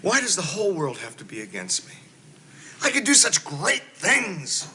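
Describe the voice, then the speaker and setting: An actor playing a self-pitying husband who swings from whining to shouting in a comedy scene. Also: male voice, high-pitched, wildly swooping pitch, normal speed, heavy background noise. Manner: melodramatic, whining then shouting. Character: self-pitying, explosive middle-aged husband.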